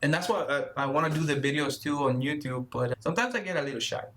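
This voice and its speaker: Halting, shy man in his thirties. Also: on the high side, fairly bright tone, a little hiss or hum. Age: 30s. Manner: halting, repeats words, laughs shyly. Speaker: guest runner. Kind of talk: casual interview conversation.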